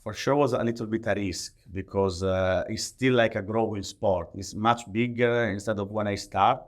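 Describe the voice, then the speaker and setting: Italian guy w lowish voice on a podcast, accented english, kinda halting, says like a lot. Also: fairly even intonation. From Italy. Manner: halting non-native English with frequent filler "like". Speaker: podcast guest, professional athlete. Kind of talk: conversational interview answers.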